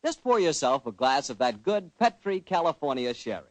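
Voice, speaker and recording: An American man announcing a 1940s radio show and pitching its sponsor with polished, friendly salesmanship. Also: very animated, moderate pace, no echo.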